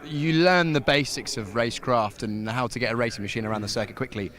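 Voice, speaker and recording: A man's voice: medium pitch, quick pace, fairly bright tone. A man working as a motorsport commentator and paddock interviewer, chatting in a friendly style.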